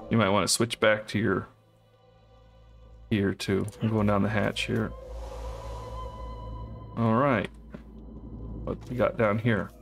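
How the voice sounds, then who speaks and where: Gravelly man musing over a game. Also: lowish voice, little pitch movement, slow pace, long pauses, faint music behind. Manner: thinking aloud, hesitant, puzzling things out. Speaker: co-op game let's player. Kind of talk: casual gameplay commentary.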